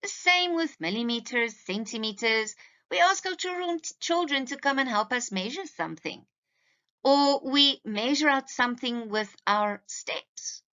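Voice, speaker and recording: A woman's voice: high voice, lively intonation, unhurried, a bit bright, muffled recording. A woman teacher giving a scripted presentation to parents in a didactic, carefully enunciated way.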